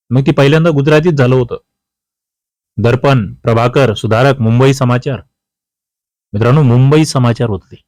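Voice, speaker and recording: A man's voice: mid-range voice, a little mellow, no echo. A man teaching exam history, reading out quiz questions, prompting with questions and repeating the key answers.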